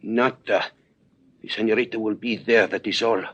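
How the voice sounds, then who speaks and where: An actor playing an anxious young Cuban messenger in a 1950s radio drama, with a Spanish accent and halting, stammering English. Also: male voice, fairly low pitch, steady tone, a bit bright. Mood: nervous, eager. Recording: muffled old radio recording.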